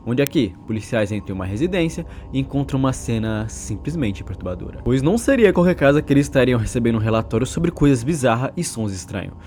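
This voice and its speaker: Fast, lively Brazilian male narrator. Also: mid-range voice, a little hiss or hum. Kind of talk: horror story recap narration.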